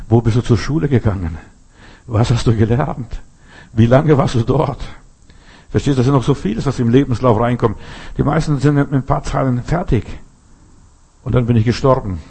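A man's voice: medium pitch, vocal fry, a little mellow, slightly rough. A man preaching a sermon, emphatic and full of rhetorical questions, often restarting his sentences.

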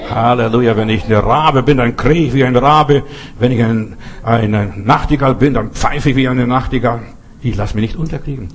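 A man's voice: mid-range voice, normal speed, breathy edge. A middle-aged man who is not a native German speaker, preaching earnestly with personal stories and repeating phrases for emphasis. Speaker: pastor.

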